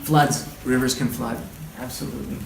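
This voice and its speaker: A man's voice: medium pitch, fairly even intonation, normal speed. A man leading an interactive read-aloud lesson, speaking in an encouraging, conversational way and repeating what listeners say. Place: indoor group training session. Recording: roomy, distant, clearly noisy room recording.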